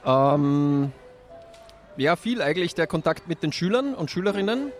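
A man answers questions at an outdoor street festival, sounding candid and a little self-mocking. His voice sits fairly high for a man. Faint music plays in the background. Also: big pitch swings, moderate pace. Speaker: teacher interviewed at a street festival. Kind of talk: relaxed interview answers.

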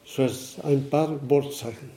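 Man from Bolivia, very slow solemn speech, mellow voice, echoey hall. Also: mid-range voice, fairly even intonation. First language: Aymara. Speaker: guest speaker. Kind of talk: ceremonial speech.

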